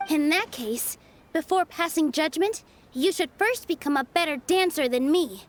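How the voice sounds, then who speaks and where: An actress playing an earnest, gentle young female dancer in a game cutscene, speaking carefully and politely. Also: high-pitched, expressive, moderate pace, a little hiss or hum. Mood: earnest, resolute.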